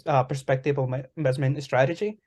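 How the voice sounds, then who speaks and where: Male co-host, mid-range voice, relaxed and filler-heavy delivery. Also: medium pitch, fast. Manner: relaxed, many fillers like "kinda, like". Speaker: podcast co-host. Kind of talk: casual podcast chat.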